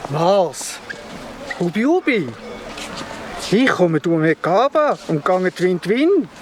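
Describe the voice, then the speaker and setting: A man's voice: sits high, very animated, slow pace, background noise, exaggerated voice. A man with a Swiss German accent playing a curt, suspicious hauler who grumbles brusquely in a children's radio play. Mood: grumpy. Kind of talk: Swiss German children's audio drama acting.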